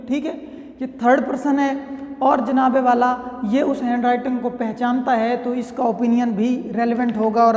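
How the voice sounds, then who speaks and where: A man's voice: very high-pitched, darkish tone. A man giving a casual, explanatory law lecture, often checking in with his listeners. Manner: conversational, keeps checking in with "theek hai". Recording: echoey room with long reverb.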